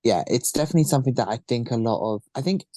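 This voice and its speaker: A man's voice: medium pitch, expressive, racing, dark tone. A man hosting a casual podcast, playful and a little theatrical, echoing his guests' words. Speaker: podcast host.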